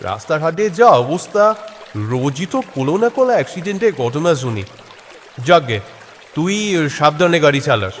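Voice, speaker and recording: A man's voice: on the high side, sing-song, brisk. A man playing a supportive friend in an audio story, casual yet concerned and cautioning. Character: supportive, cautioning male friend of a young worker. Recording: noisy recording with long hall reverb.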